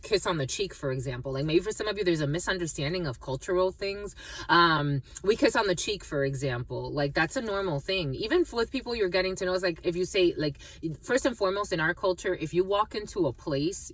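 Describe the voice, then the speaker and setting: Rambling woman tarot reader, low voice. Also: lively intonation, fairly quick, distant mic. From family from the Dominican Republic. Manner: rambling, many fillers and restarts. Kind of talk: casual spiritual reading monologue.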